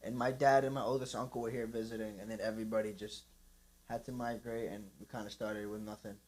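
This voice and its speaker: A man's voice: lowish voice, flat delivery, fast, darkish tone. Easygoing young man saying "bro". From Northeast Philadelphia. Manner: easygoing, says bro and man, laughs often. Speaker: guest, Muay Thai fighter. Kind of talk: casual interview chat. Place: podcast studio. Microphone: studio mic.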